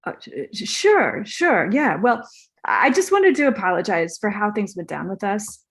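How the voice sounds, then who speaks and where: A woman's voice: medium pitch, lively intonation, normal speed, a bit bright. A woman reading the part of a hapless, apologetic young male star, hesitant and stammering, at a comedy table read. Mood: awkward, apologetic.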